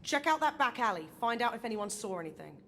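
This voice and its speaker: A woman's voice: fairly high pitch, very animated, fast, fairly bright tone, long reverb tail, slight background noise. A woman with a British accent voicing a blunt, feisty, exasperated soldier in a video game. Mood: brisk, dry. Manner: blunt, slangy. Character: feisty, exasperated female soldier leading an investigation.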